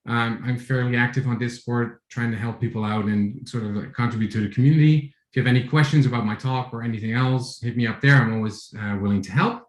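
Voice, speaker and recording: A man in his forties from the Netherlands with a Dutch accent, giving a relaxed tech talk over a video call, with many fillers and restarts. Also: mid-range voice, fast, hardly any pauses, reverberant. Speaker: conference speaker. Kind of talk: online tech talk with screen share.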